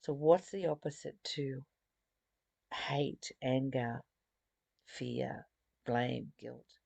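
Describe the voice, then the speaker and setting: A woman leads an informal spiritual study in a low voice with noticeable fry. She takes her time, moving between deliberate reading and chatty commentary. The sound is close and dry. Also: dark tone, no room sound. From Australia. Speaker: spiritual study host reading and explaining a book.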